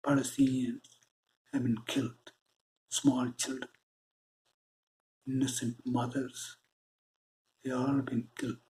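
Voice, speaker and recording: Slow, earnest Indian man preaching. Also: medium pitch, warm tone, slight background noise, low-quality recording. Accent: Indian English. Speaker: spiritual channel host. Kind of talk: spiritual monologue.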